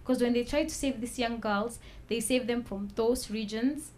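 A woman telling her own story as a talk-show guest, soft-spoken and measured, pausing with fillers. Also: on the high side. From Kenya. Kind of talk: personal testimony in a talk show.

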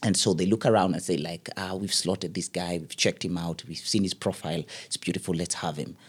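A middle-aged man, an event MC telling a story as an interview guest, lively and acting out the voices he quotes. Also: deep voice, brisk, breathy edge. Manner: lively, acts out quoted voices, laughs often.

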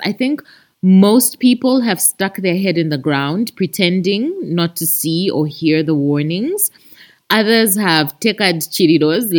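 A woman with a Zambian accent hosting a chatty podcast, talking expressively and addressing her listeners like friends. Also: low voice. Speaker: podcast host. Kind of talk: chatty podcast monologue with announcements.

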